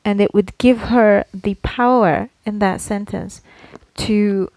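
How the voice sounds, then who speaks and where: A woman's voice: mid-range voice, steady tone, takes it slowly, a little mellow, no echo, poor audio. A woman co-hosting a casual podcast, explaining her points in a playfully argumentative way. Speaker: podcast co-host. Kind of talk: casual conversational podcast talk.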